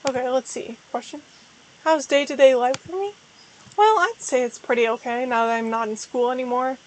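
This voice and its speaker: Hoarse young woman chatting on stream. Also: high-pitched, moderate pace, slight background noise, low-quality recording. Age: young adult. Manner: rambling, self-deprecating, frequent restarts. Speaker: VTuber streamer. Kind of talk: casual livestream chat.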